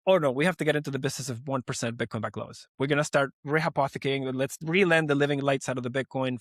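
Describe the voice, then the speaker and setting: A man in his forties from Venezuela, a guest on an interview podcast, talking in an animated, emphatic way with many restarts. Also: high voice, dry recording, clean studio sound. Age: 40s. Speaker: guest, lending company founder.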